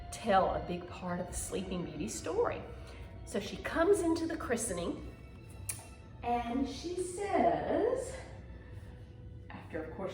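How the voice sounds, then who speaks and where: A woman's voice: medium pitch, a little mellow. A woman teaching ballet mime, telling stories theatrically and prompting learners to follow along. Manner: theatrical storytelling with encouraging prompts. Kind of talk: demonstrative dance lesson, talking viewers through gestures. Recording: very echoey room, music behind.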